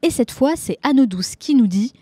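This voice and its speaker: Upbeat higher-voiced woman hosting. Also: lively intonation, normal speed, mellow, husky, no room sound. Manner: upbeat, motivational delivery. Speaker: podcast host. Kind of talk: scripted podcast intro, then interview.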